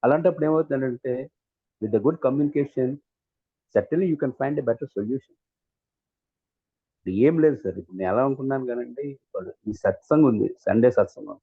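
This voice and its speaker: A man with a Telugu Indian accent talking casually on a community call, halting and rephrasing as he discusses. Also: mid-range voice, husky, no room sound. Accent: Indian, Telugu-speaking. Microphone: online community call. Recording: slightly dull, lo-fi call audio.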